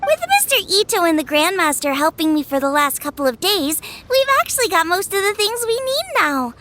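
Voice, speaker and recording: A woman playing a bubbly, energetic, friendly young cook in a video game, perky and eager. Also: very high-pitched, a little fast, background noise. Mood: cheerful, friendly. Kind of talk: animated video game voice acting.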